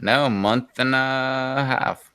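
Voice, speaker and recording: A man's voice: mid-range voice, steady tone, slow pace, sharp tone, clean tone. A man in his forties chatting casually on a group stream, rambling and laid-back with plenty of fillers. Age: 40s.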